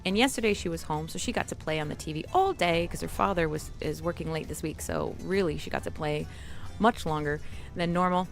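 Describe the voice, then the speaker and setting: An exuberant mob boss lady played by a woman chats away over music. She is animated and playful, rambling through a game stream in character. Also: deep voice, brisk. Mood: amused, animated. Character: exuberant mafia don woman with a playful accent. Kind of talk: role-play live stream chat. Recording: music playing behind the voice.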